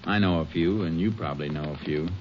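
A man plays a low-voiced Texas Ranger investigator, American, shrewd and matter-of-fact. He talks in terse deductions, in old western radio drama style, on a muffled, noisy vintage recording. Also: quick pace, natural voice. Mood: matter-of-fact, reasoning.

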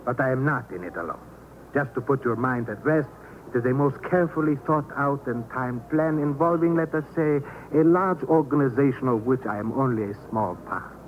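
An actor playing a polite, menacing saboteur in 1950s radio thriller style, speaking formally and precisely with cool threat. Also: male voice, mid-range voice, normal speed, natural voice. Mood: cool, controlled threat. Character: polite, menacing male saboteur with a briefcase bomb. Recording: dull, noisy old radio recording.

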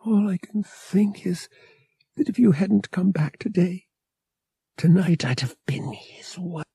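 A man voicing an earnest, shaken young woman in an audiobook, with a breathy, hushed tone. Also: high-pitched, takes it slowly, no room sound, studio quality, voice pushed up, exaggerated voice. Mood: relieved murmur.

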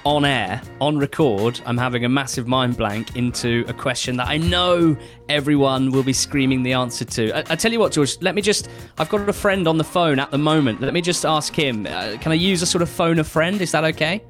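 Chatty co-host, quick to laugh. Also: male voice, mid-range voice, soft background music. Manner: animated, chatty, laughs often. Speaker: podcast co-host. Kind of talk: casual chat.

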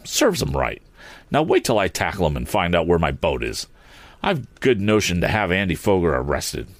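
A man's voice: low-pitched, huge pitch swings, normal speed, dry recording, full character voice. A man voicing an angry young inventor hero in a storybook, indignant and demanding. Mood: grumbling, resolved.

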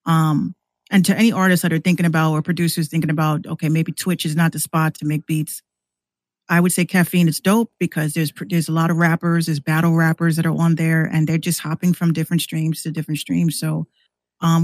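A woman's voice: deep voice, level tone, brisk, darkish tone, no echo. A woman who streams and podcasts, chatting casually as a guest on a show.

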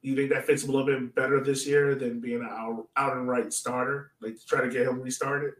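Male podcast host, mid-pitched, casual sports chat, loose questioning style, roomy distant sound. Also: flat delivery, fast talker. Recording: roomy echo, distant mic.

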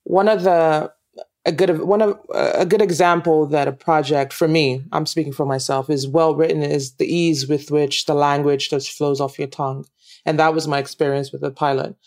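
A Nigerian-accented woman from Nigeria, a guest actress chatting reflectively in an interview, laughing easily and pausing with ums. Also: low-pitched, steady tone, darkish tone. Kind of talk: casual interview chat.

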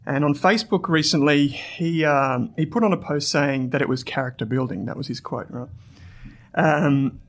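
A man's voice: fairly high pitch, normal speed. An Australian man hosting an investing podcast, reading an ad and then telling a story to his guest in a chatty way with fillers. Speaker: podcast host. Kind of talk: podcast interview with read ad.

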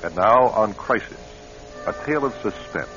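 A man's voice: on the low side, expressive, normal speed, pushed and bright. A man giving dramatic scripted narration for a suspense radio drama in a solemn, portentous manner. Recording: muffled radio recording. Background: dramatic music.

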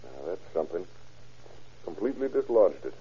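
An actor playing a shrewd, terse police investigator in an old-time radio crime drama, reasoning matter-of-factly. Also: male voice, sits low, sing-song, normal speed, warm tone, a little hiss or hum. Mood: keen, deducing. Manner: matter-of-fact, terse, deductive. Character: shrewd, hard-boiled male police investigator. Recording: muffled old radio recording.

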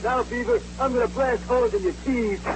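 An actor voicing a boastful, menacing tooth-decay villain in a vintage cartoon commercial, gloating theatrically. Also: male voice, very high-pitched, fairly even intonation, a little fast, loud music behind the voice, rough recording. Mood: gloating menace. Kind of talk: 1950s cartoon commercial acting.

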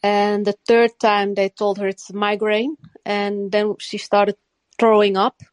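A woman's voice: mid-range voice, flat delivery, takes it slowly, dry recording. A woman from Morocco, raised in the Netherlands, talking earnestly in a casual chat and often repeating and restarting her phrases.

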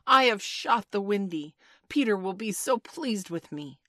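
Sincere, plaintive storybook lad woman narrator acting, higher than her natural low voice. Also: lively intonation, measured pace, breathy edge, no echo, studio quality. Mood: proud. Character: foolish, earnest young boy, proud yet dignified and remorseful.

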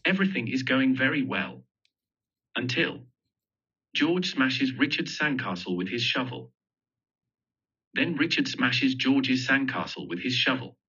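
A man's voice: mid-range voice, lively intonation, unhurried, pauses often, bright, forceful tone, slightly rough. A man reading a children's picture book aloud, telling the story simply and expressively. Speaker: storybook reader. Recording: dull lo-fi audio.

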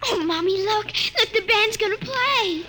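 A woman voicing an excited little girl in a 1950s radio drama, pleading and wide-eyed. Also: extremely high pitch, normal speed, over music.

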